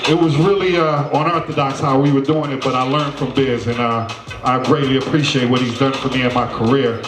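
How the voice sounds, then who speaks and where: A man's voice: medium pitch, fairly even intonation, brisk, echoey room, over music. A man from Trinidad giving a loose, grateful acceptance speech as a DJ honoree, full of fillers.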